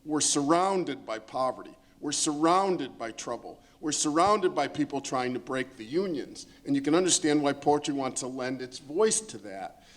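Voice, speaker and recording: A man's voice: mid-range voice, animated, normal speed. A man on a panel explaining his point with conviction, emphatic and repeating phrases for effect. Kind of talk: impassioned explanatory panel talk.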